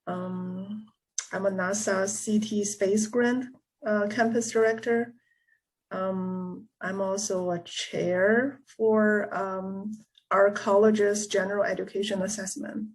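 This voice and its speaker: An American woman speaks in a mid-pitched voice over a video call. She describes her engineering students haltingly, with frequent ums and restarts. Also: steady tone, poor audio. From United States. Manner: hesitant, many ums and restarts. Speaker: community college engineering instructor.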